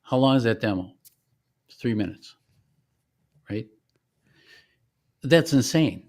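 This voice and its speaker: A man's voice: medium pitch, expressive, takes it slowly, a little mellow. A middle-aged man, a company founder, chatting casually as an interview guest and explaining things in a plain-spoken, informal way.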